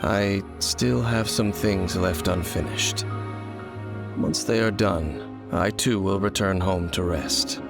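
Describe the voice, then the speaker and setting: A man playing a solemn, world-weary, cryptic wanderer in a video game, speaking in a formal, measured, brooding manner. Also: low voice, gravelly, heavily acted. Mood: weary, wistful. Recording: music playing behind the voice. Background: game music.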